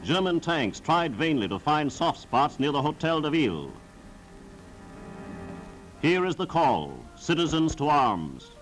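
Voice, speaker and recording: A man's voice: on the high side, big pitch swings, unhurried, music in the background, poor audio. A man narrating a scripted 1940s wartime newsreel in a solemn, formal, declamatory style, on a vintage film soundtrack.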